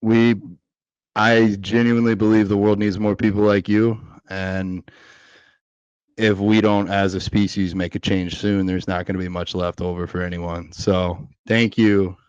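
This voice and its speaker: A man hosting a podcast, asking questions in a soft-spoken, hesitant and earnest way. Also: lowish voice, level tone, a little slow, smooth, dry recording, dull audio. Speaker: podcast host. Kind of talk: casual interview questions.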